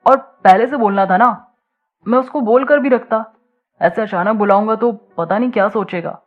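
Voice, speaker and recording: A woman's voice: medium pitch, normal speed, phone-quality sound, lightly acted. A woman voicing an easygoing, romantic young man in an audio story, with casual talk. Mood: mildly complaining.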